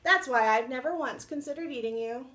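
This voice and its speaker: A woman's voice: high-pitched, brisk, a bit bright, reverberant. A woman voicing a proud, self-important old male cat in a children's storybook, speaking formally and condescendingly. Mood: condescending consolation. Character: proud, smug, oldest male house cat.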